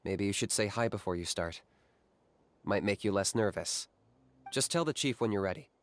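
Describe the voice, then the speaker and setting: Guy playing a chill young warrior guide, lowish voice, steady and practical. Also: moderate pace, natural voice. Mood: calm. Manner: cool, level and instructive. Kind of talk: video game voice acting.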